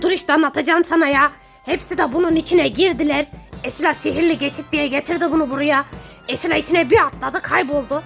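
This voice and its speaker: A woman with a very high-pitched voice acts as a mother in a children's pretend-play skit. She is exclamatory and theatrical, often repeating herself, with music playing behind her. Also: vocal fry, a bit bright.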